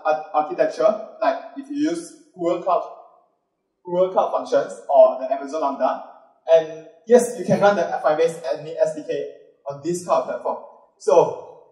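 A Malaysian man in his thirties giving an upbeat conference talk and quizzing the audience with quick questions. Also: on the high side, a little mellow. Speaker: conference speaker. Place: large dark auditorium with a stage. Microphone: handheld mic. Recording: roomy hall sound from a distant camera.

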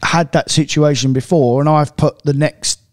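Blunt guy on a podcast, talks to the "ladies and gentlemen", handheld mic, hotel room. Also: on the high side, fairly even intonation, normal speed, no echo, clean studio sound. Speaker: podcast co-host. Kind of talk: casual chat. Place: hotel room by a big window. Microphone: handheld mic with headphones.

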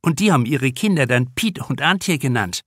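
A man's voice: medium pitch, expressive, moderate pace, a bit bright, breathy edge, dry recording, studio quality. A man voicing wry, amused snack-bar regulars making small talk in an audiobook.

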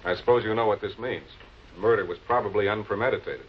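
An actor with an American accent playing a composed, probing public prosecutor in a 1940s television crime drama. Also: male voice, lowish voice, fast, close to an everyday voice. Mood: probing, dry. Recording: muffled, noisy old 16 mm film soundtrack.

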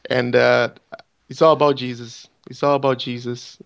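A man's voice: mid-range voice, level tone, moderate pace, vocal fry, a bit bright. Brazilian-accented man preaching and leading prayer. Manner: earnest, repeats phrases, preaching tone. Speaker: pastor. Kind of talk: radio ministry talk and prayer.

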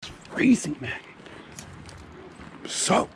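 A man's voice: drawn-out words, a little hiss or hum. A man exploring outdoors by a mountain river, chatting in a laid-back, slangy way. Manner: laid-back, slangy, says bro and dope. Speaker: vlogger, co-host exploring. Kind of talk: casual on-camera exploring chat.

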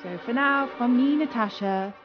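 A woman narrating a scripted children's story as a storyteller, expressive and dramatic, with deliberate pauses. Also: a little high. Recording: dull lo-fi audio with music.

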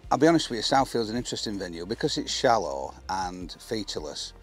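A man's voice: on the low side, sing-song, fast talker, soft background music. A man in his fifties with an English accent, presenting a fishing match to camera outdoors. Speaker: angler and presenter. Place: outdoors on an open reservoir bank.

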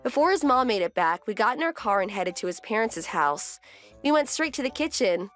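A woman acting an indignant young wife, lively delivery. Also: on the high side, fairly quick, faint music behind. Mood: matter-of-fact, smug. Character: fed-up young wife narrating family grievances. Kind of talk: scripted manga dub narration.

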